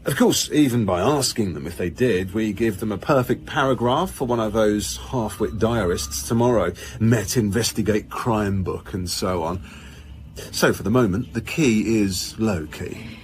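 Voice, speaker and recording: A man's voice: lowish voice, a little slow, close to an everyday voice. A man playing a wily, jocular senior police commissioner in a naturalistic radio drama, blustery and insinuating. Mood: confiding, briefing.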